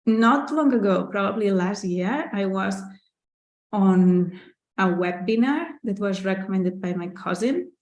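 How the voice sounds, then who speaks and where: A woman's voice: mid-range voice, expressive, unhurried, a little mellow, echoey room. A woman with a Catalan accent, a native Catalan speaker, hosting a casual live Q&A, chatty and candid, with lots of "like" and "you know" and small laughs as she reads out viewer questions. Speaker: artist and business owner hosting a live Q&A.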